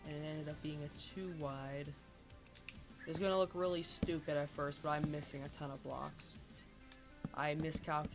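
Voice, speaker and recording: Congested streamer, hesitant and halting. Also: medium pitch. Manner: hesitant, many ums and restarts; keeps clearing the throat. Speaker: live streamer playing a modded block-building game. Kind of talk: casual live-stream chat while playing. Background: game music.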